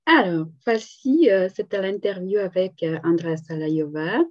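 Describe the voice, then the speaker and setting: A woman's voice: lowish voice, normal speed, darkish tone. Woman teacher on dull video call audio. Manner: patient, explaining with many fillers. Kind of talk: online class instruction.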